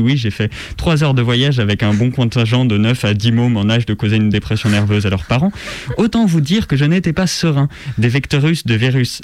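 A mid-pitched man speaks in a dry studio. He presents casually, with colloquial phrasing and a wry, sardonic edge. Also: a little mellow, no room sound. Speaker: radio show host. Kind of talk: wry opinion commentary and casual radio presenting.

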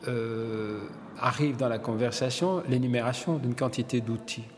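An older Belgian-born man, a hairdresser and publisher, telling his story in an interview, halting and repeating words as he searches. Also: lowish voice, in a large hall, a little hiss or hum.

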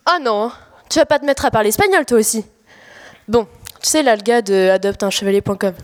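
A teenage girl plays an exasperated, blunt young married woman in a comic audio play. Her voice is on the high side and her phrasing is slangy and halting. A long hall reverb surrounds her. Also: natural voice. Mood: panicked. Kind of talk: comic audio fiction acting.

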